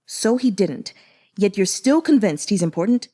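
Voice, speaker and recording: An aloof young nobleman from an audiobook, acted by a woman, with a mellow timbre. He speaks curtly and accusingly, swinging in pitch with irritation and scorn. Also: animated, moderate pace, natural voice. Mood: hurt, angry. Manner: curt, cutting, accusatory.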